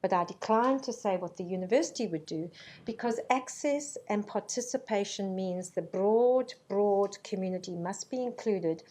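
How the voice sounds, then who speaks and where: A middle-aged woman born in Zambia giving a formal conference welcome speech, measured and earnest, with frequent ums. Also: mid-range voice, warm tone. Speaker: university vice-chancellor. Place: conference hall.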